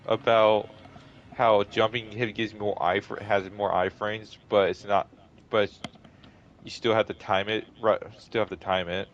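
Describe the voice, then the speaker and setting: A man with a deep voice chatting casually over voice chat while gaming, often stopping and repeating himself. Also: little pitch movement, lo-fi sound. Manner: halting, restarts and repeats himself. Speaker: co-op player on voice chat.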